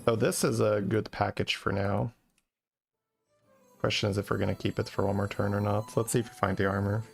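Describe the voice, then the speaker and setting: Gravelly Flemish-accented streamer. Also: male voice, on the low side, flat delivery, fairly quick. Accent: Flemish Belgian. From Belgium. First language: Dutch. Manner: thinks aloud, tags plans with "right?". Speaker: game streamer. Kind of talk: live gameplay commentary. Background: faint game music.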